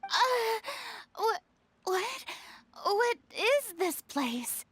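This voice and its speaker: High, slow, theatrical young ruler. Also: female voice, sing-song, a bit bright, full character voice. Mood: startled. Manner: grandiose, formal, dramatic. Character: theatrical young female ruler, proud front hiding weary vulnerability. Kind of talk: video game voice acting. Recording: long reverb, music behind. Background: music.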